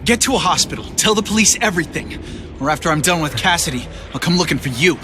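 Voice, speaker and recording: Casting: male actor as a wisecracking young superhero, slightly high voice, sarcastic but firm. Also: lively intonation, fast, background noise, natural voice. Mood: stern. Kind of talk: video game cutscene voice acting. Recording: game audio with loud music.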